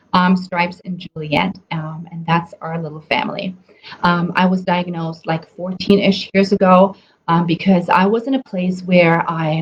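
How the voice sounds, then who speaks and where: Woman in her forties, chatty livestream nutrition coach, dull lo-fi mic. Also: on the low side. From Germany. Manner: chatty, many ums and restarts. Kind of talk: casual live stream teaching. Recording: slightly dull audio with faint noise.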